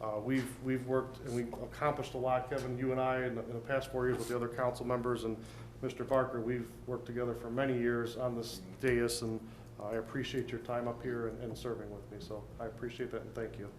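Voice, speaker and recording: A man's voice: medium pitch, level tone, a little fast. A man serving as mayor, giving plain-spoken, earnest remarks at a city council meeting. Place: council chamber. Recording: roomy chamber with faint noise.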